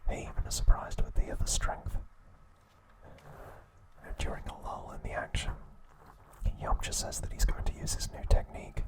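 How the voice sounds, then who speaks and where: A man narrating ASMR in a hushed, breathy whisper, in short measured phrases. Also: poor audio. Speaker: ASMR host. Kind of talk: whispered ASMR narration, recounting episode summaries.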